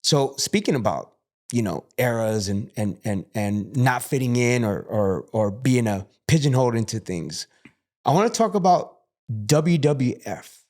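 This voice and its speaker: An American man runs a relaxed conversation as host, nodding along with quick affirmations. He talks at an easy middle pitch and leans into each new question with eagerness. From United States. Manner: eager, quick to agree, frequent backchannel affirmations. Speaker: podcast host. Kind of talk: casual conversational interview.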